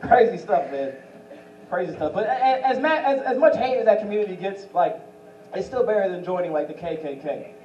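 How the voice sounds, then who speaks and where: High-voiced young man doing club stand-up. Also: expressive, a little fast, a little mellow, dull audio, a little hiss or hum. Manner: does impressions of famous voices. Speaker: stand-up comedian. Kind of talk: stand-up set at an open mic. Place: small comedy club stage. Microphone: handheld mic. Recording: roomy club audio from a far camera. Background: audience laughter.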